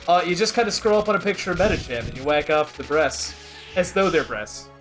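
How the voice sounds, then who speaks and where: A man co-hosting a casual gaming show, telling crude jokes in a halting, hesitant way. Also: fairly high pitch, lively intonation. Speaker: show co-host, gamer. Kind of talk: casual gaming podcast chat. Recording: game music underneath.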